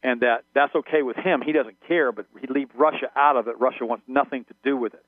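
A man speaking quickly over a tinny phone line, heard dry with no room around him. He rambles, stumbles and restarts, and German was his first language. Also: medium pitch, fairly even intonation, no echo. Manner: rambling, repeats words and restarts often. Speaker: guest. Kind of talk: phone-in radio interview.

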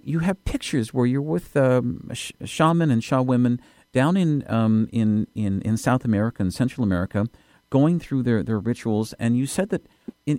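Mellow-voiced male radio host. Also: mid-range voice, no room sound. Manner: halting, with many restarts and fillers. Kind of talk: interview.

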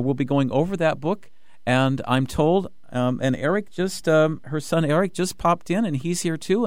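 A man hosting a radio talk show from the studio, talking in an excited rush with many restarts and fillers. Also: medium pitch, dry recording. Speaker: radio host.

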